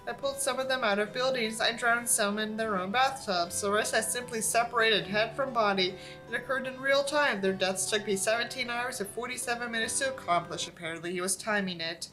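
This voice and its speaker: Tired girl kinda sick, reading stuff out loud all snarky and casual, some music in the back. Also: fairly high pitch, rough recording. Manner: sardonic, weary, swearing. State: tired, feeling unwell. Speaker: host reading and mocking a book. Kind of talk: casual sarcastic commentary with read-aloud.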